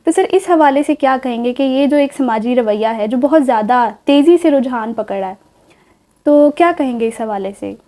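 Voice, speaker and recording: High-voiced, mellow Pakistani woman hosting. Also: lively intonation, normal speed. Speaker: programme host. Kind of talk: interview on a literary and social talk show.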